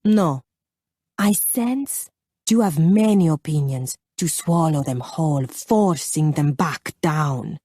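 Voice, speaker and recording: A woman playing a dashing, self-assured foreign baron with a foreign accent and a careful, deliberate, teasing delivery. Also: deep voice, lively intonation, mellow, no room sound, studio quality, exaggerated voice. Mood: teasing, probing.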